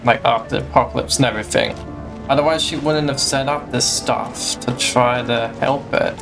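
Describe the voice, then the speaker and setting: A man's voice: medium pitch, steady tone, moderate pace, gravelly. Male gamer, mid-range voice, casual musing commentary, game music underneath. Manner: offhand, musing reactions to the game. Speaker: gaming streamer.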